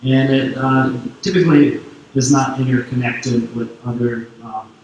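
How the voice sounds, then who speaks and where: A man from Quebec whose first language is French, speaking with a French-Canadian accent as he gives a relaxed technical conference talk full of fillers. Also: medium pitch, unhurried, lo-fi sound. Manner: relaxed, filler-heavy explaining. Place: large conference hall on stage. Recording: echoey hall, distant mic, faint noise.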